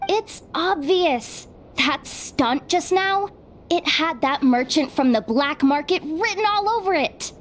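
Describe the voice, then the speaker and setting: A sardonic young investigator who grumbles at her own bad luck in a high, unhurried voice. A faint hiss hangs behind her lines in this game dub. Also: animated. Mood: explaining. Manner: wry, grumbling, slow-spoken. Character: sardonic young woman investigator, self-doubting. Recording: faint background noise.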